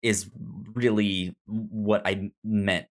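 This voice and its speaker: A man hosting a casual review chat, speaking haltingly and often repeating or restarting his words. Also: on the low side, steady tone. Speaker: podcast host.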